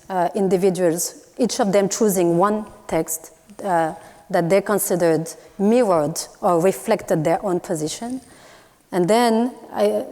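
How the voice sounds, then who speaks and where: A woman from Morocco whose first language is Moroccan Arabic, giving a thoughtful public talk as an artist and pausing often with fillers. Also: medium pitch, dark tone. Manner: thoughtful, hesitant with many fillers and restarts. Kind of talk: public talk at a university event. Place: lecture hall. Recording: long hall reverb.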